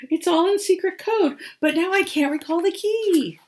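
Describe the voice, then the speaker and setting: A woman's voice: extremely high pitch, moderate pace, a little mellow, pitched above the performer's own voice. A woman voicing a small, ashamed magician's rabbit in a storybook, with a muffled, plaintive, sniffly voice. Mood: forlorn. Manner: plaintive, whispery confessing.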